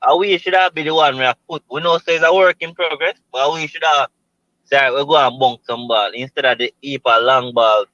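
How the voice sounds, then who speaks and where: A Jamaican man calling in to a football show, making his point in a measured way with halting restarts. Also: mid-range voice, steady tone, bright, forceful tone, dry recording. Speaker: caller. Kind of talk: casual football talk. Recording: dull lo-fi call audio.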